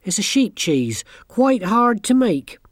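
An earnest young girl witch from a storybook, speaking quietly and a bit unsure of herself, as a woman reader voices her. Her lines are slow and close, with little room sound. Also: medium pitch, big pitch swings, no echo, pitched above the performer's own voice.

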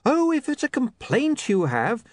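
A man voicing a timid, nervous young male clerk in a storybook reading, pitching his voice high and anxious. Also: huge pitch swings, a little slow, no echo, heavily acted. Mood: flustered.

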